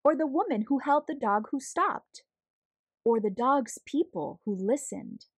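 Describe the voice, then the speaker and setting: Slow, high-voiced woman reading a storybook. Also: breathy edge, no echo. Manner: measured, deliberate, expressive storytelling. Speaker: read-aloud storyteller, host. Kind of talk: children's picture book read-aloud.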